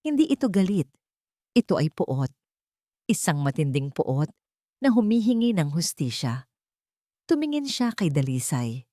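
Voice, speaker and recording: A woman's voice: low-pitched, dark tone, no echo, studio quality. A woman narrating a scripted audio drama in a solemn, dramatic manner.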